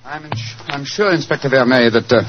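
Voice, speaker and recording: An actor playing a keen, courteous English gentleman detective with a British accent in a 1940s radio drama. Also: medium pitch, fairly quick, music in the background, close to an everyday voice. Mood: probing. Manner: probing, polite, crisp reasoning. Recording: muffled, hissy 1940s radio recording.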